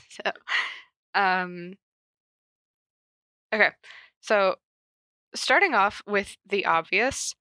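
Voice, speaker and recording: A woman hosts a casual podcast on her own, drifting from point to point with plenty of filler words. A cold has left her voice stuffy and nasal, yet it still carries a bright, pushed-forward tone. The sound is close and dry, with no room echo. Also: mid-range voice. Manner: rambling, chatty, frequent ums and likes. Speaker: podcast host. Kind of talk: casual solo podcast chat.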